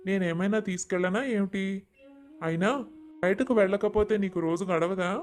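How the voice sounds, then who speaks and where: Curt, irritable husband, rather high voice acted by a man. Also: close to an everyday voice. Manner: curt, dismissive. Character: selfish, irritable middle-aged husband. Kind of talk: animated moral story dialogue.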